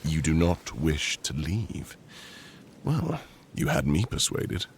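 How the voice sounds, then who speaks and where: A man playing a proud, commanding and possessive conqueror king in a boyfriend roleplay audio, speaking in slow, formal, measured phrases with a deep gravelly voice. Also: sing-song, a little hiss or hum, full character voice. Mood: dry, controlled.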